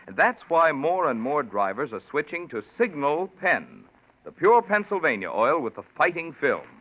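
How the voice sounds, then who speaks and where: An American man announcing and reading sponsor copy on 1940s radio in a polished, emphatic sales delivery. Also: fairly high pitch. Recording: tinny 1940s radio, faint music.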